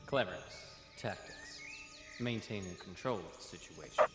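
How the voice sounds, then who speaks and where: An actor playing an earnest, scholarly young military officer in an audio comic, measured like a storyteller. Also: male voice, deep voice, close to an everyday voice. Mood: solemn, recounting. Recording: roomy playback with loud music bed.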